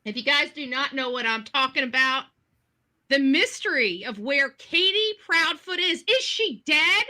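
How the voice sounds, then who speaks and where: A woman's voice: high-pitched, animated, measured pace, pushed and bright. A woman streamer chatting casually to her viewers in a theatrical, exclamatory way. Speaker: online livestreamer. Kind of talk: casual livestream chat.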